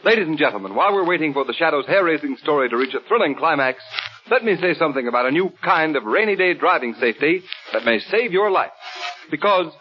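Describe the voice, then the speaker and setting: A man's voice: a little high, lively intonation, fast talker, music in the background. A male announcer reading a sponsor's advertisement in an emphatic, declamatory 1930s radio style. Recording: dull 1930s radio recording.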